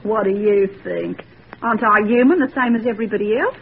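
A woman's voice: medium pitch, a little fast, gravelly, lightly acted. An actress playing an anxious, devoted young working-class village girl, breathless and pleading. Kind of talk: 1940s radio acting. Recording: tinny old radio broadcast, faint hiss.

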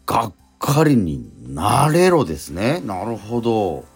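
A man co-hosting a radio show in casual chat, reflective and halting, with frequent murmured interjections. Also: on the low side, big pitch swings, slightly rough. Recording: music behind the voice. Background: background music.

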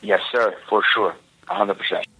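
A man's voice: on the low side, steady tone, moderate pace, pushed and bright, slightly rough, rough recording. A man whose first language is Portuguese, earnestly giving advice in a played interview clip and repeating words as he searches for them. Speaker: jiu-jitsu guest from a past interview.

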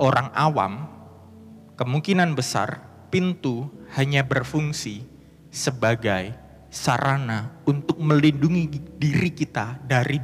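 A man with a Banyumas Javanese accent, preaching and reading scripture aloud with emphatic, rising delivery in a church. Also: mid-range voice. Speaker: pastor. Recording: long church hall reverb.

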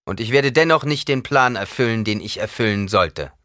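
A man's voice: lowish voice, sing-song, brisk, a bit bright, dry recording, studio quality. A male narrator voicing a defiant, commanding young man in an audiobook, declaiming with scorn and force. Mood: loud, triumphant.

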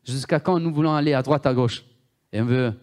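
A man's voice: mid-range voice, fairly quick, a little mellow. A man preaching a sermon in French with a strong Italian accent, Italian being his first language, emphatic and often repeating himself and asking the listeners questions.

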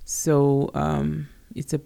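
Gravelly-voiced woman. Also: low-pitched, completely flat delivery, extremely slow, warm tone. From South Africa. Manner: chatty, hesitant, many fillers and restarts. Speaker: podcast co-host. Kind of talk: casual podcast chat.

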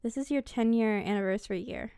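A woman's voice: on the high side, fairly quick, mellow, clean tone, no echo, studio quality. A woman in her mid-twenties co-hosting a podcast, chatting casually, telling stories and teasing her friend. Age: 20s. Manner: easygoing, teasing, storytelling. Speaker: podcast co-host. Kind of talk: casual chat.

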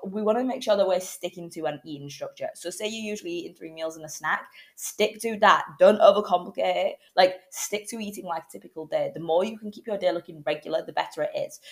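Young woman, podcast host, hoarse from a cold, low and rapid, loose chatty delivery. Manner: chatty, many fillers and restarts. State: full of cold, losing her voice. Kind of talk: casual solo podcast advice.

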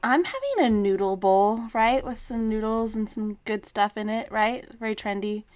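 A woman's voice: on the high side, expressive, moderate pace, darkish tone. A woman chatting casually on a podcast with friends in a playful, joking way. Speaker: podcast co-host. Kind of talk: casual chat among friends.

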